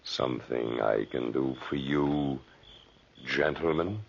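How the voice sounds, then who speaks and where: A man playing a mysterious backwoods cabin dweller in a 1940s radio drama, ominously polite, slow and deliberate, with a gravelly voice. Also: very deep voice, a little hiss or hum, full character voice. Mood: ominously courteous. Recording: dull lo-fi 1940s radio recording.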